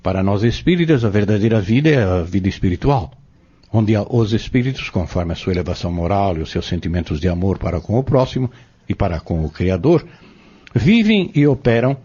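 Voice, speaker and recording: A man playing a patient, earnest Spiritist teacher in an audio drama, explaining step by step in a measured, didactic way. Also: fairly low pitch, animated, dark tone, breathy edge. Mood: earnest, explanatory. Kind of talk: scripted audio drama dialogue. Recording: dull lo-fi audio.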